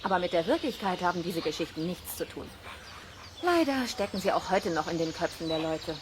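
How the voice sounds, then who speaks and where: Casting: friendly wolf expert, lowish voice with animated melody, patient and amused, children's audio drama. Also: female voice, fairly quick. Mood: friendly, instructive. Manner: patient, lightly amused explaining. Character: friendly, knowledgeable woman who looks after wolves. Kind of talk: children's radio play dialogue. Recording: faint cassette noise.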